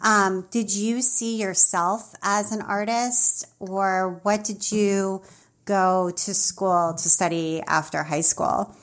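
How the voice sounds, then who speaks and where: A woman's voice: mid-range voice, steady tone, slow pace, fairly bright tone. Woman podcast host, enthusiastic and complimentary, casual interview delivery.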